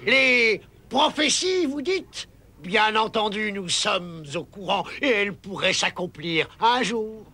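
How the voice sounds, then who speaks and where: An actor playing a pompous, fawning high priest who serves a king in a cartoon. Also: animated, slow, bright, forceful tone, heavily acted. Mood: haughty. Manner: pompous, obsequious, with nervous chuckles. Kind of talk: French cartoon dub acting.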